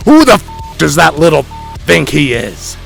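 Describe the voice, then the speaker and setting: A man's voice: a little high, big pitch swings, a little slow, vocal fry, a bit bright, full character voice. A man playing a boastful, indignant internet tough guy who rants profanely in a dramatic reading of forum posts. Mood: loud, indignant. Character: boastful, ranting, insecure internet tough guy claiming royalty. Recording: music under the voice.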